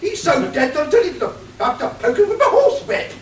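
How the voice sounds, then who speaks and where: A woman's voice: sing-song, a little fast. A woman playing a dim-witted titled lady detective in an amateur radio play, with a pompous, blustering manner. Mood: haughty. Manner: pompous, blustering, swooping pitch. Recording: roomy, distant, faint hiss.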